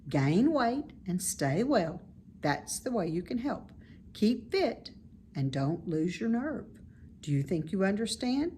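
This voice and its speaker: A woman plays a motherly storybook spider who is calm and reassuring. She speaks slowly at a medium pitch, comforting yet gently firm. There is some noise behind the voice. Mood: motherly, instructive.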